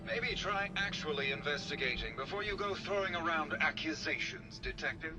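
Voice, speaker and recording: A man's voice: fairly high pitch, expressive, normal speed, lightly acted, rough recording. Man playing a swooping, pretentious robot artist. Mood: indignant. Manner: florid, dramatic, affected speech. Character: theatrical, pretentious centuries-old male robot artist. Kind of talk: video game voice acting. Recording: loud music behind the voice.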